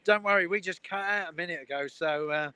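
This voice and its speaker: A man's voice: sits high, brisk, sharp tone, smooth, dry recording. A man hosting an outdoor livestream, upbeat, laughing often and reading out viewer comments. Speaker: host. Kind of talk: casual livestream chat. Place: outdoors at a railway station. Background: trains.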